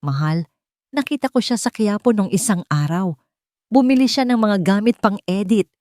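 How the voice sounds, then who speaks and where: A woman's voice: medium pitch, expressive, moderate pace, a little mellow, no echo, studio quality, natural voice. A woman voicing a manipulative wife who pleads and accuses in a melodramatic story. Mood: tearful, accusing.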